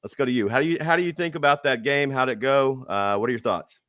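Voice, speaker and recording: A man hosting a casual sports podcast, upbeat and quick to hype things up. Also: mid-range voice, fairly bright tone, no room sound, studio quality. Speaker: podcast host.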